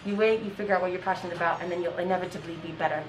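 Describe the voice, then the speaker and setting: A woman's voice: lowish voice, fairly even intonation, rapid-fire, distant mic, a little hiss or hum, rough recording. A woman in her twenties from Kenya, a school counseling intern, giving earnest interview answers with fillers and restarts while sitting outdoors on a school campus. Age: 20s. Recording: voice set back from the mic, music underneath.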